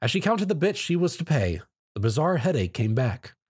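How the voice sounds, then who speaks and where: Give me a man narrating an adventure story, husky, with animated pitch. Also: normal speed. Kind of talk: scripted narration, dramatic fan fiction reading.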